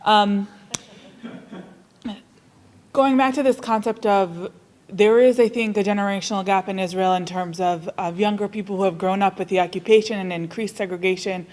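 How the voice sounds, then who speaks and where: A woman's voice: mid-range voice, steady tone, moderate pace, a little mellow. A woman asking an informal question from the audience at a panel event, tentative and searching for her words as she goes.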